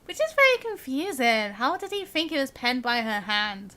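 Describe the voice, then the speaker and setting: A woman's voice: high voice, sing-song, normal speed, sharp tone. A woman live streamer chatting casually and giggly while she plays a game.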